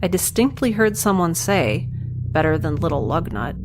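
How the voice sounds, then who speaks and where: A woman's voice: fairly low pitch, sing-song, moderate pace, music in the background. A young woman playing a wry, stranded space scientist, rambling self-deprecatingly through a first-person log in an audio drama. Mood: wry, matter-of-fact.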